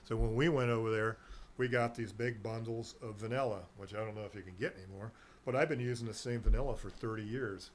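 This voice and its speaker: A man's voice: on the low side, steady tone, fast, slight background noise. A middle-aged man chatting casually as a guest on a local talk show, rambling and meandering, laughing easily. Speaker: guest, herbal nutrition seller.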